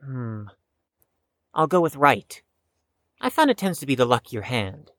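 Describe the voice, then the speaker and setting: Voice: mid-range voice, sing-song, measured pace, a bit bright, dry recording, clean studio sound. An actor playing a reserved, defensive young chess prodigy in an audio drama, with a guarded, precise, slightly stiff delivery. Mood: cautious, dry. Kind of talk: scripted audio drama acting.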